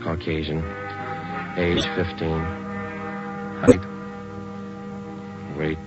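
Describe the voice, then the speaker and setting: An American actor playing a stoic, businesslike police detective in an old radio crime drama, with clipped, deadpan delivery. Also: male voice, rumbling low, very slow, heavily acted. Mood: flat, official. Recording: dull vintage radio recording, music behind.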